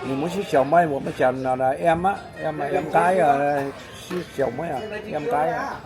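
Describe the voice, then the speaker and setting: A man's voice: a little high, normal speed. An older man whose first language is Vietnamese chats casually in a busy coffee shop, patiently repeating words to explain them. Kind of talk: casual chat. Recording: loud crowd and music behind.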